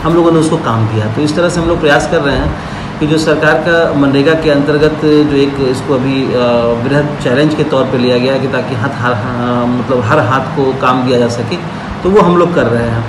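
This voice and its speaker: Halting man in echoey room, music behind. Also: medium pitch. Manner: halting, official-sounding. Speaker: local government official being interviewed. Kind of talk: unscripted interview answer.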